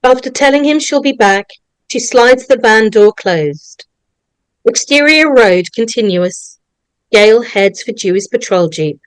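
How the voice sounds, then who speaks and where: A woman's voice: a little high, lively intonation, unhurried, clean tone, dry recording, rough recording. A woman narrating at a script table read, reading out screenplay stage directions.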